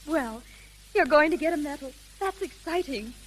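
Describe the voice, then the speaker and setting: A woman's voice: high-pitched, expressive, normal speed, a bit bright. An actress playing a poised, coy young woman in a 1930s radio drama. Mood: polite. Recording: hissy, crackling 1930s radio recording.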